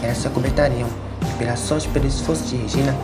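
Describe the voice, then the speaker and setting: A man narrates an audio drama from a script. His voice sits in the middle register, and loud music runs prominently beneath it. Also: mid-range voice, fairly even intonation, fast.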